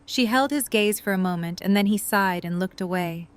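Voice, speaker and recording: A woman's voice: medium pitch, moderate pace, smooth. A woman narrator reading scripted story narration for an audio drama.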